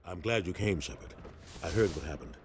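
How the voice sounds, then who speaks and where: A man's voice: sits low, animated, brisk, faint music behind. Resolute male military captain. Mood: earnest. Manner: earnest, urgent, authoritative. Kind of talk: acted game cutscene dialogue.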